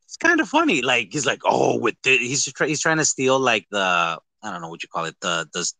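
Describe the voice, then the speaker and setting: Guy on a podcast, rambly casual talk, bright voice, pitch goes all over, really dry sound. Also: sing-song, fairly quick, vocal fry, no echo. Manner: rambling, repeats phrases and trails off. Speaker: podcast host. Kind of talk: casual chat.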